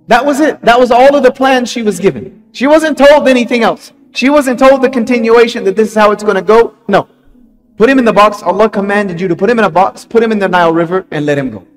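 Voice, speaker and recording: Man, high voice, Friday sermon, storytelling, repeats lines for emphasis, faint music behind. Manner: animated, repeats phrases for emphasis, asks the audience questions. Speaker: preacher giving a Friday sermon.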